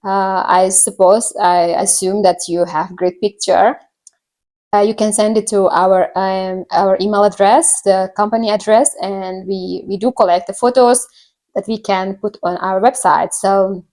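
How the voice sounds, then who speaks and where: A woman's voice: mid-range voice, steady tone, unhurried, darkish tone. A woman from Indonesia with an Indonesian accent tells a personal story to viewers casually, often searching for words and repeating herself. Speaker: vlogger.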